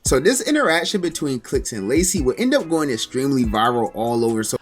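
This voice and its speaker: A man's voice: medium pitch, quick pace, over a noisy crowd. A man narrating a scripted video recap in a storytelling tone. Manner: storytelling, lively commentary tone.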